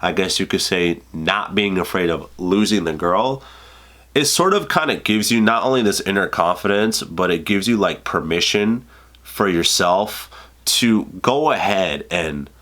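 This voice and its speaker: A man's voice: low-pitched, animated, measured pace, a bit bright. A man in his twenties, congested from a cold, giving casual dating advice in a loose, profane, filler-heavy way. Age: 20s. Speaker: vlogger. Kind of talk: casual advice vlog to camera.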